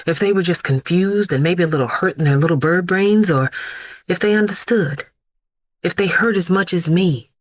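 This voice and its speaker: Grieving, defiant vigilante mother played by a woman, middle-aged; low register, very dry close sound, emphatic audio-drama monologue. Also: no room sound, close to an everyday voice. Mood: aching. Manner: emphatic, deliberate, repeating words for weight.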